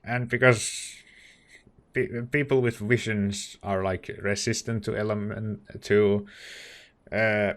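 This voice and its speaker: Slow, musing male let's play streamer. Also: on the low side, flat delivery. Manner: musing, reacting aloud in short remarks. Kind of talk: casual game commentary.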